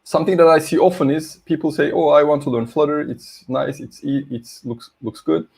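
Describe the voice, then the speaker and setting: Gravelly man with a Turkish accent. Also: a little high, fairly even intonation, brisk, a little mellow. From Turkey. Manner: hesitant, many fillers, friendly. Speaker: conference speaker, university lecturer. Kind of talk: online tech talk presentation. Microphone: video call.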